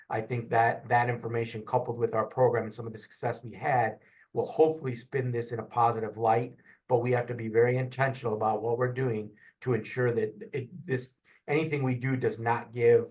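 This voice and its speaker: Earnest man explaining deliberately. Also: mid-range voice, low-quality recording. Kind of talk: community video call. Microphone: video call.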